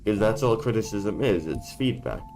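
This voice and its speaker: A man with a very low voice talks straight to camera, blunt and given to casual swearing. His voice sounds worn from being sick, and music plays clearly behind him. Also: expressive, moderate pace, darkish tone. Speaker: YouTuber. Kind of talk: casual talking-head vlog. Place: dark room indoors.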